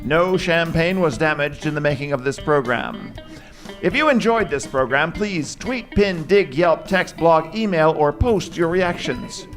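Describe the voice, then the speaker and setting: A man's voice: a little high, big pitch swings, unhurried, a bit bright. A man announcing scripted credits for a radio drama as its announcer. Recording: live theater, music and audience behind. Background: music and crowd.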